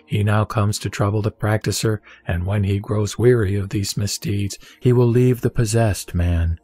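A man reading a Buddhist scripture aloud in a slow, measured recitation. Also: on the low side, no echo. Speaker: scripture reader. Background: soft background music.

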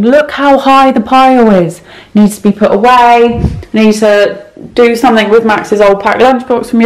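Chatty woman in an echoey kitchen. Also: mid-range voice. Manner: chatty, self-mocking, talks while moving and tidying. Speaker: cleaning vlogger. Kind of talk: casual clean-with-me vlog chat. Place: home kitchen and rooms, indoors. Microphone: camera mic, handheld and set on the counter.

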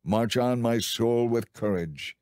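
A man reading as an audiobook narrator in a dramatized, solemn and measured scripted Bible narration. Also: medium pitch, darkish tone, no room sound, clean studio sound.

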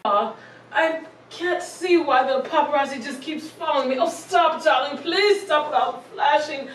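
Echoey, very high melodramatic socialite acted by a woman. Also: moderate pace, natural voice. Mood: desperate, pleading. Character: needy, vain, melodramatic socialite woman pestered by paparazzi. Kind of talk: comedy skit phone-in caller. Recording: echoey, distant mic, hissy background noise.

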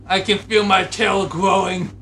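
A scheming, excitable comic inventor with a high, bright voice, acted out by a man. He rambles through his villainy as if making it up on the spot. There is faint noise behind him. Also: unhurried, full character voice. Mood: gleeful scheming. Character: excitable, scheming male inventor turning squirrel supervillain, easily panicked.

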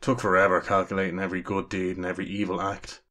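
A man playing a calm, dry devil who judges souls, measured and deadpan in a radio drama. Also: low-pitched. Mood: dry, matter-of-fact.

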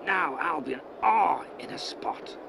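A man doing a gravelly excitable commentator. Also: on the high side, slow, heavily acted. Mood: excited. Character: excitable male football radio commentator. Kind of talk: mock sports commentary in a story. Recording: noisy recording with ambience.

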